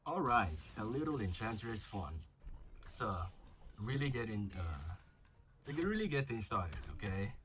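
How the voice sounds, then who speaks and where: A young man talks his way through a mobile fighting game, chatty and a little crude as he thinks aloud. His voice sits slightly low and carries a touch of flu. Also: very animated, measured pace, over loud music. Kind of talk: casual gameplay commentary.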